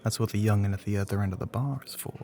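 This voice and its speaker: A man playing a flirty, middle-aged bartender with a Southern US accent and a low, gravelly voice, teasing in a folksy way in an ASMR roleplay. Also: racing, warm tone, faint music behind, lightly acted. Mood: flirty, smooth. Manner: drawling folksy terms of endearment, teasing.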